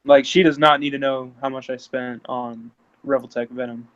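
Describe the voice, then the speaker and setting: A man in his thirties chatting casually, often stammering and restarting, growing animated when excited. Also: medium pitch, normal speed, heavy background noise. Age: 30s. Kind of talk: casual chat. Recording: dull lo-fi sound.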